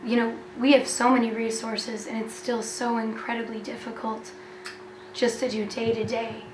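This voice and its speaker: Even-toned, higher-voiced American woman. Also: moderate pace. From United States. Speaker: interviewer. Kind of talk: casual on-location interview. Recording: echoey with clear ambient noise.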